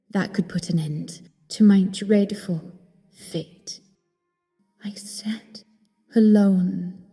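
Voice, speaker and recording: Scared young woman prisoner narrating, hushed n solemn, really mellow tone, cavernous reverb w music. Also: medium pitch. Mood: despairing. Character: frightened young captive woman, pensive, remorseful, haunted. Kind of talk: dramatic first-person narration. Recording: long hall reverb, music underneath.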